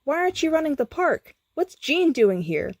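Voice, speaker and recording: Woman voicing an earnest, distraught storybook hero, raised pitch. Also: sing-song, normal speed, dry recording, clean studio sound. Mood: pleading. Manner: exclaiming, pleading. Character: big hairy male hero, earnest, distraught, bewildered.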